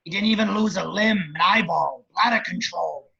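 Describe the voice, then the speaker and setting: A man acts out a grotesque, grandiose villain giving bitter political speeches at a table read. The voice is pitched high for a man, gravelly and husky. The audio is dull and lo-fi. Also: normal speed, bright, forceful tone, full character voice. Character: grotesque, grandiose male crime boss posturing as politician.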